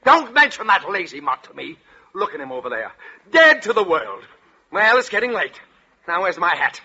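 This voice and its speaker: An actor playing a pompous, irritable middle-aged American school principal who barks indignantly in a 1940s radio comedy. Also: male voice, high-pitched, sing-song, moderate pace, pushed and bright, breathy edge, heavily acted. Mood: exasperated barking. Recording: muffled vintage 1940s radio recording.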